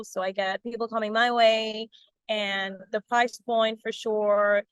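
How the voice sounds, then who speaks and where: A woman's voice: a little high, a bit bright, clean tone, no room sound. A woman from Ecuador, giving a chatty testimonial about her coaching business with many fillers and restarts. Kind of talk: casual testimonial interview.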